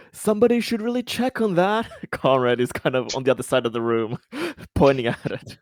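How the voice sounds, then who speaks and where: Animated man with a very dry sound. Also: mid-range voice, normal speed, no room sound. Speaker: tabletop game player. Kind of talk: casual joking game-table chat.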